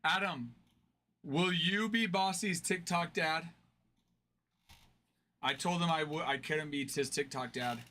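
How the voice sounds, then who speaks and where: A man's voice: on the high side, takes it slowly, pauses often, pushed and bright. A man hosting a casual card-break livestream, joking around and laughing often.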